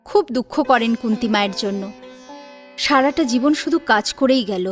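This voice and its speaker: A woman's voice: high-pitched, a bit bright. A woman narrating a scripted story expressively, as a narrator in an audio drama. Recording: music under the voice.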